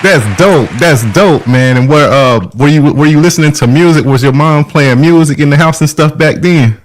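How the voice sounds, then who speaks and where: A man's voice: on the high side, moderate pace, soft background music. Show host: man, mid-range voice, hyped slangy delivery, crowd-like murmur behind. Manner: hyped, slangy, repeats shout-outs. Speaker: host of a live music interview show. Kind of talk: hype show hosting and casual chat.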